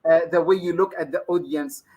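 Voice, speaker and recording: A man's voice: on the high side, fairly even intonation, moderate pace, a little mellow. A man from Egypt, a native Arabic speaker with an Egyptian accent, talking as a guest trainer in a casual live interview and often adding "you see". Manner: chatty, keeps adding "you see", laughs easily.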